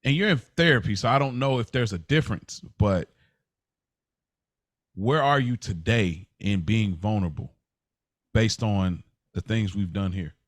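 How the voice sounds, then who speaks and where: A man's voice: fairly low pitch, measured pace, long pauses, dry recording, studio quality. A man speaking as a podcast co-host in casual, reflective conversation, earnest and conversational in his delivery.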